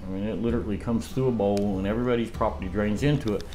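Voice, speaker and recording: Low-voiced man chatting at home. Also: fairly even intonation, rapid-fire, mellow, a little hiss or hum, low-quality recording. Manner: rambling storyteller with laughs and restarts. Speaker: homesteading vlogger. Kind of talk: casual chat. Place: home dining room, indoors. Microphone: camera across the table.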